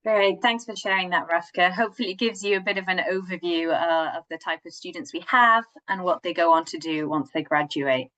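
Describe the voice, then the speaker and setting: A woman's voice: on the low side, fairly quick, hardly any pauses, fairly bright tone, lo-fi sound. A woman hosting an online information session, explaining details in an organised way and directing questions to her colleagues over a video call. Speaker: session host.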